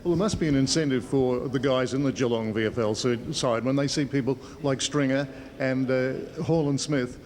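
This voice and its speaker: A man's voice: medium pitch, moderate pace, hardly any pauses, a little mellow. A mature man with an Australian accent interviewing in a conversational sports broadcast style.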